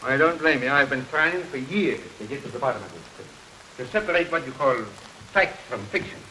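An actor in a 1930s film playing an earnest older man who explains dark matters haltingly and emphatically, repeating his phrases. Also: mid-range voice, expressive, rough recording. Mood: emphatic, explaining. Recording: hissy 1930s film soundtrack under rain. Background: rain and thunderstorm ambiance.

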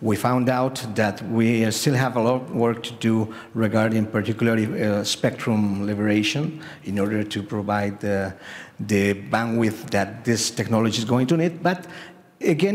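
Halting man in a big hall. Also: mid-range voice. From Bolivia. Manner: halting, many restarts and repeated words. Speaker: panel participant. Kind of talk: conference remarks. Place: large conference hall, seated in the audience. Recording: long reverb of a large hall.

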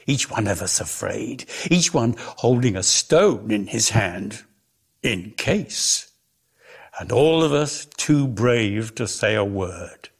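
A man giving a measured, expressive dramatic reading of literary prose as a storyteller. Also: medium pitch, vocal fry, breathy edge. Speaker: narrator, reader.

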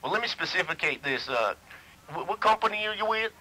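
Man playing a bright-voiced crude redneck stoner. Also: high voice, sing-song, brisk, full character voice. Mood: folksy, rambling. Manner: drawling, folksy, muddled word choices, swearing. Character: crude, slow-witted redneck stoner man, easily irritated. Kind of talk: prank phone call. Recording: noisy telephone line recording.

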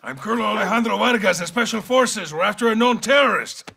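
An actor playing a commanding, urgent special forces colonel in a military shooter game, with a Mexican accent and clipped orders. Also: male voice, sits high, quick pace, creaky, pushed and bright, slightly rough, full character voice. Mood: firm. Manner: clipped orders, switching between Spanish and English. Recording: noisy game action mix with clicks.

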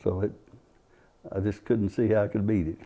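A man's voice: fairly low pitch, level tone, moderate pace, warm tone. An elderly American man, a war veteran, recollecting in an interview on a lapel mic. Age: 70s or older. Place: indoor interview studio.

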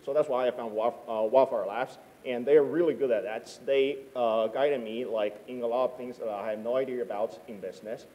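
Young guy, mellow voice, talking on a panel, stops and starts with lots of fillers. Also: mid-range voice, fairly even intonation, low-quality recording. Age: 20s. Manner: halting, filler-heavy, informal. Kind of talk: unscripted panel answer. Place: stage of an auditorium, indoors. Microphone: handheld microphone.

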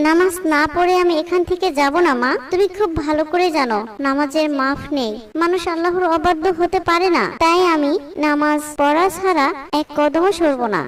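A woman's voice: high-pitched, moderate pace, recorded from a distance, natural voice. A woman voicing an earnest, devout and dutiful young bride in a moral cartoon. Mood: resolute.

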